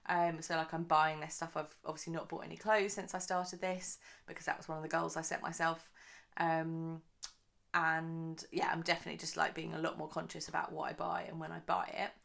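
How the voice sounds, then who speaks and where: A woman talks through her monthly budget in a loose, rambling way, full of ums and asides. Her voice sits a little low and has a gravelly, croaky edge, since she is recording early in the morning. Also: little pitch movement, brisk, a bit bright. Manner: chatty, rambling, many fillers. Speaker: budgeting channel host. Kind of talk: casual chatty monthly budget vlog.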